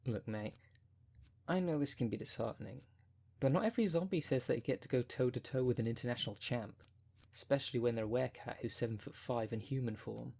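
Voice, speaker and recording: A man's voice: medium pitch, fairly quick, vocal fry, darkish tone. A man playing a wry, caring, foul-mouthed necromancer who coaches a zombie fighter in a casual, chatty ASMR audio roleplay. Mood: consoling, encouraging. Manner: chatty, colloquial, wry, consoling.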